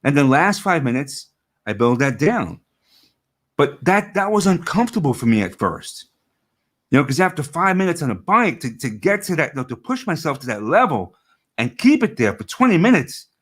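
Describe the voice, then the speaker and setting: A middle-aged man with a low voice, a coach giving an earnest motivational talk over a video call, often restarting and repeating words. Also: sing-song, moderate pace, a bit bright.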